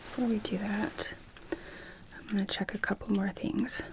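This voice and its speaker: A woman speaking in a soft, breathy whisper, gently and unhurriedly narrating each step as an optometrist in an ASMR medical roleplay. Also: mid-range voice, flat delivery, moderate pace, low-quality recording. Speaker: ASMR performer playing an optometrist.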